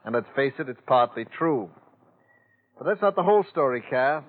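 An actor playing an idle, smooth-talking heir from old money, polished and faintly wry, in a 1940s radio drama. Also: male voice, mid-range voice, animated, normal speed, lightly acted. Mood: suave, wry. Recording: tinny, noisy vintage radio recording.

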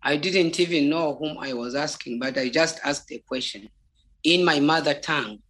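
A native Bemba speaker with a Zambian accent giving a personal spiritual testimony in a halting, deliberate way, repeating words for emphasis. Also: medium pitch, fairly bright tone.

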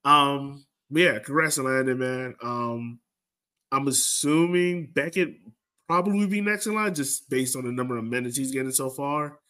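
American man, medium pitch, podcast host, casual sports chat, colloquial, repetitive for emphasis. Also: moderate pace, sharp tone. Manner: colloquial, repeats phrases for emphasis.

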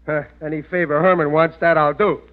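An actor playing a good-natured, gullible, middle-aged working-class American husband who blusters ungrammatically in a 1940s radio comedy. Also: male voice, a little high, steady tone, brisk, lightly acted. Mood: fond, eager. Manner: blustery, ungrammatical everyman talk. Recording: muffled vintage radio recording.

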